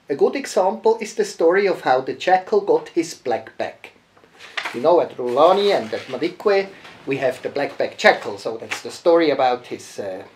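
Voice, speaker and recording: A man in his fifties reads a children's story as a lodge host. His delivery is unhurried and deliberate, and his intonation is very animated. Music plays softly along with him. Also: a little high. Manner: slow, expressive, deliberate phrasing. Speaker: safari lodge host reading a bedtime story. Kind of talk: storytelling read aloud for children. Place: lodge bedroom, lying in bed.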